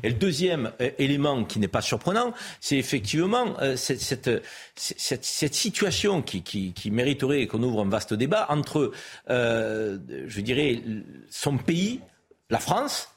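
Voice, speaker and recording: Insistent male debater, slightly husky. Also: medium pitch, sing-song, a little slow. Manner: insistent, argumentative. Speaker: panel commentator. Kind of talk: heated studio debate.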